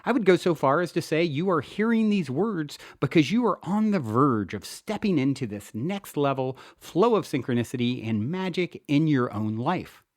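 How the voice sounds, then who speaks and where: A man reads his own self-help book aloud as a narrator, with an earnest, expressive delivery. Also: fairly high pitch, normal speed, few pauses, dry recording, clean studio sound. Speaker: author and show host reading own book. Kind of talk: audiobook narration, first-person self-help.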